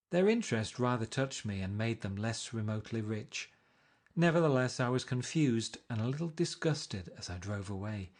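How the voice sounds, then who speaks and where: A man reading a classic novel aloud in a measured, even storytelling delivery. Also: fairly low pitch. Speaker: audiobook reader.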